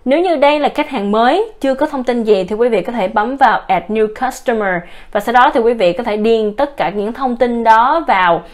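A woman giving a formal, step-by-step voice-over walkthrough of salon booking software, politely addressing her viewers. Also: a little high, normal speed, few pauses. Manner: explains step by step, with occasional restarts. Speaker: software demonstrator. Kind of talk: screen-recorded software tutorial. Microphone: voice-over narration over a screen recording.

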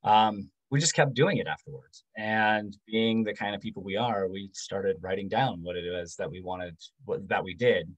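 A man in his forties giving a casual book talk over a video call, halting with many ums and restarts. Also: low-pitched, rough recording. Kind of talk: casual presentation. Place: home room.

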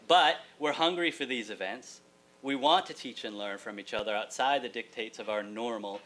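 A man's voice: mid-range voice, pushed and bright. A middle-aged man delivering a prepared keynote address at a lecture hall podium, articulate and wry, with joking asides. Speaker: keynote speaker, college writing teacher. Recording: hum and room noise, distant camera.

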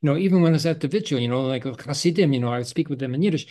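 Older man, halting political talk. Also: on the high side, warm tone. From Canada. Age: 60s or older. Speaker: forum host. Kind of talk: casual political discussion. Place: indoor room, video call.

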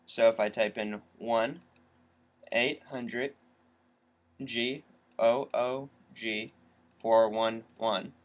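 A man's voice: mid-range voice, fairly even intonation, extremely slow, long pauses. A young adult man talking casually through a screen-recorded tech tutorial as a vlogger.

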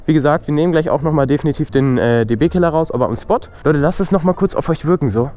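A young man vlogging casually while riding a motorcycle, excited and slangy, talking straight to his viewers. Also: fairly high pitch, quick pace, muffled recording. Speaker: motovlogger. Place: outdoors on a motorcycle, city streets and open road. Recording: wind and engine noise.

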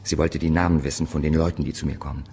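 An actor playing a prickly, suspicious photographer, curt and evasive, in a German radio crime drama. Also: male voice, a bass voice, little pitch movement, quick pace, vocal fry, a little mellow, breathy edge, exaggerated voice. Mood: dismissive. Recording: reverberant large room, background noise.

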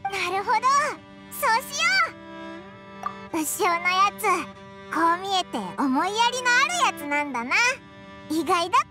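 A woman voicing a small, cheeky, childlike flying companion in an anime game, squeaky and boyishly blunt. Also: very animated, moderate pace, pauses often. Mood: bright, confident. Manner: boyish rough speech, teasing. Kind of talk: Japanese anime game dub acting. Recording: loud music under the voice.

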